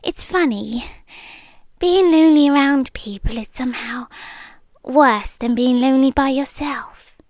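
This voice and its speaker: A British woman half-whispering a soft, nervously chatty ASMR roleplay into a close microphone. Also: high voice, measured pace, dry recording.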